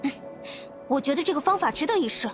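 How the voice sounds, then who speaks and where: High-pitched chirpy little companion. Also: female voice, animated, fast. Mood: upbeat. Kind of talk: anime-style video game voice acting. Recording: music behind the voice.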